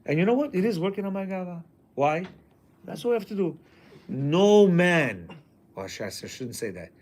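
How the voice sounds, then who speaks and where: A middle-aged man with a loud voice giving a lively, jokey class to a group of men, acting out what people said. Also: high voice, normal speed, slight background noise. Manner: animated, jokey, acts out quotes. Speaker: class teacher. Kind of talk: lively lecture to a men's class.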